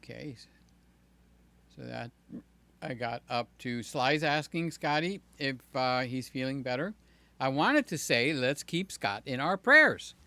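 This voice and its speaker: An older man hosting a live stream, chatting loosely with viewers, his voice slightly hoarse. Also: mid-range voice, lively intonation, a little slow, sharp tone. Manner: rambling, jokey, talks to chat by name. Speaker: livestream host. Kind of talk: casual live chat with viewers. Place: home room with fans and air conditioner. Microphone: desk mic near the mouth.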